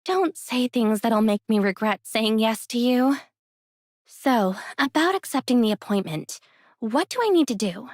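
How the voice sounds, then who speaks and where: A woman's voice: on the high side, animated, a little slow, no room sound, clean studio sound. A woman playing an easygoing, friendly young heroine in an anime game, speaking casually with a light teasing edge. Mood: wry, questioning.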